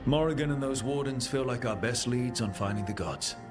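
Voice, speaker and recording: A man's voice: medium pitch, a little fast, over music, natural voice. A man playing an earnest, resolute young leader of heroes in a fantasy game. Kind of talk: dramatic video game acting.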